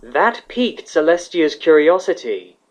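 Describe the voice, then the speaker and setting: A man's voice: on the high side, big pitch swings, a little slow, darkish tone. A man reading scripted fiction as the narrator of a dramatic story. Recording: slightly dull, lo-fi recording.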